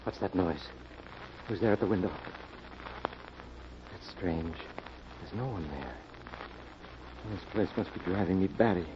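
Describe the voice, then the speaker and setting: An actor playing a panicky, haunted suspect in an old radio mystery, fearful and muttering to himself. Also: male voice, deep voice, measured pace, pauses often. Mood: frightened, agitated. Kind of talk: 1940s radio mystery acting. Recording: muffled, noisy old-time radio recording.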